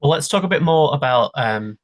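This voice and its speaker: A man hosting an interview, reading measured introductions and asking questions over a video call. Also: a little high, lively intonation, no echo. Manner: measured, scripted-sounding introductions. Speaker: interview host. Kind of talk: hosted interview.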